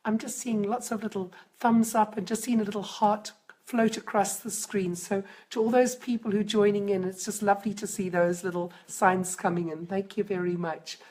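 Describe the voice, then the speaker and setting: A middle-aged woman, a parenting coach, talking live to viewers on a stream, hoarse and croaky from a cold, chatty and reflective. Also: mid-range voice, steady tone, moderate pace, a little mellow, poor audio.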